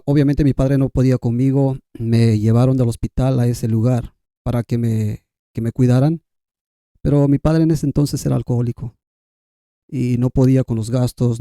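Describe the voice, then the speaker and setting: Very dark-toned Guatemalan man, native K'iche' speaker. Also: mid-range voice, no echo, studio quality. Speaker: podcast guest. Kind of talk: conversational personal storytelling.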